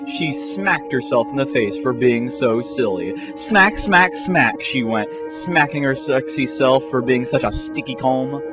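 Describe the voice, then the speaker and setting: A man's voice: medium pitch, lively intonation, unhurried, few pauses. Male storyteller, theatrical, mock-serious, comic fan-fiction narration, music behind.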